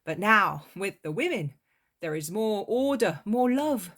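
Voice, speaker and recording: A woman voicing an excitable male fisherman who talks out of breath and with urgency. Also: medium pitch, a little slow, pitched above the performer's own voice. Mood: eager. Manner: urgent, agitated.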